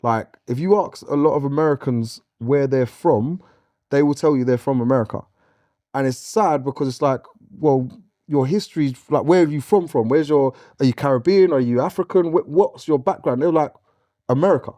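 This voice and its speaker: A man's voice: medium pitch, moderate pace, dark tone, no echo, clean studio sound. A man from England, talking earnestly on a podcast panel, often restarting his sentences. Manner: earnest, stumbles and restarts when explaining. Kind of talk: casual panel discussion.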